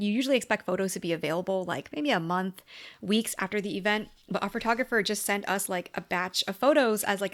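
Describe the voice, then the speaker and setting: A woman's voice: mid-range voice, fairly bright tone. Young US woman, casual vlogger, mid-range voice, chatty and filler-heavy. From United States. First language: English. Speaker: tarot YouTuber. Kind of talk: casual sit-down video diary. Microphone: camera mic at a sit-down chat.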